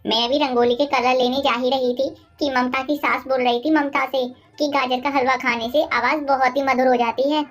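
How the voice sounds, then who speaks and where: A woman voicing a bossy, scheming middle-aged mother-in-law who scolds, in an animated moral story. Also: high-pitched, moderate pace, soft background music. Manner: bossy, scolding, name-calling.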